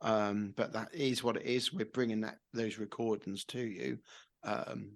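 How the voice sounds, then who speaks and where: A man's voice: on the low side, steady tone, measured pace, vocal fry. A man co-hosting a podcast, talking loosely with lots of fillers as he sets up the episode. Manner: apologetic, loose, filler-heavy. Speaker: podcast co-host. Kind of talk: casual podcast intro.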